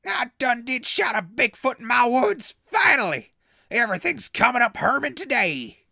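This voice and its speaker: A raspy, forceful hillbilly hermit in a post-apocalyptic radio comedy. He has a rural Southern accent and threatens in folksy, broken grammar, with lively swings of pitch. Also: normal speed, no room sound, heavily acted. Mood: gleeful. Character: hot-tempered backwoods hillbilly hermit with an old rifle. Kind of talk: post-apocalyptic comedy audio drama acting.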